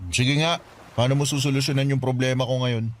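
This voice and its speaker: A man's voice: medium pitch, fairly even intonation, brisk, slight background noise, lightly acted. An actor playing Makoy, a guarded and hesitant young man, in a radio drama. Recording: music bed under dialogue.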